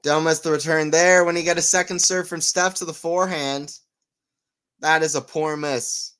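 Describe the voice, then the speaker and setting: A man giving live tennis play-by-play on a stream, chatting with viewers and getting excited on big points. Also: on the high side, moderate pace, smooth. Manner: excitable on rallies, rambling and self-mocking between points. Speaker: tennis watchalong commentator. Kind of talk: live play-by-play and casual chat.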